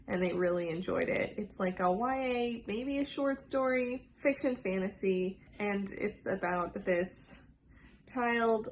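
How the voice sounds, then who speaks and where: A woman's voice: fairly low pitch, slight background noise. A woman making a casual book vlog, chatty and enthusiastic, sounding a bit congested from a cold. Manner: chatty, enthusiastic, filler-heavy. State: congested, under the weather. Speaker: book vlogger. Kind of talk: casual book tag video.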